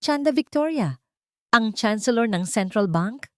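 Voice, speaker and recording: A woman's voice: a little high, animated, a little slow, fairly bright tone, no echo, clean studio sound, voice pushed up. Woman acting an anguished, defiant story hero. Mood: stunned. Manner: emotional, exclaiming. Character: anguished, defiant adult child, narrator of the tale. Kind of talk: audio storybook dialogue.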